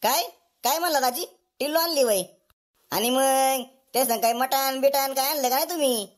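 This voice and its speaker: A woman's voice: high-pitched, moderate pace, lightly acted. A woman voicing a boastful, shameless, freeloading drunkard brother-in-law with a whining manner in a comedy cartoon.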